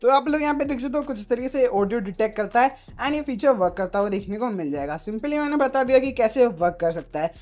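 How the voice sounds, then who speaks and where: A man's voice: very high voice, animated, quick pace, few pauses, darkish tone. A man giving a casual phone tutorial as a tech YouTuber, mixing in English terms and leaning on repeated filler phrases.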